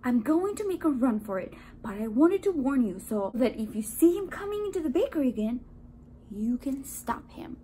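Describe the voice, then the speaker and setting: A woman voicing an excitable, indignant little male donut in a children's storybook, with dramatic exclamations. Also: high-pitched, moderate pace, slight background noise. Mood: frantic, urgent. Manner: dramatic, exclaiming, sometimes frantically whispering.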